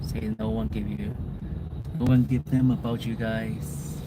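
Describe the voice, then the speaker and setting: A man's voice: medium pitch, big pitch swings, gravelly. A man in his thirties, chatting casually and rambling, giving advice while driving through city traffic. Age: 30s. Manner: slow, rambling, gives life advice. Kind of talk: casual chat. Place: inside a car in heavy city traffic. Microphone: camera mic. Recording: loud road noise.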